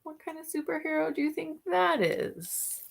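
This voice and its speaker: A woman reading a picture book aloud to children, expressive, with soft musing questions for young listeners. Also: high-pitched, normal speed, gravelly. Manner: expressive reading, alternating with softer musing asides and hums. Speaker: read-aloud storyteller for children.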